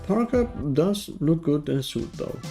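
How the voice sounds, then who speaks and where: A man's voice: a little high, animated, normal speed, loud music behind the voice. A man from Germany, narrating a casual game review in a dry, wry manner.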